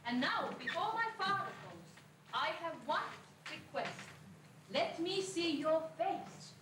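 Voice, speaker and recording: A woman playing a gentle, trusting young heroine in an amateur rhyming pantomime, earnest and polite. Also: very high voice, animated, unhurried, distant mic. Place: school hall stage, far camera. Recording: echoey, noisy amateur stage recording from afar.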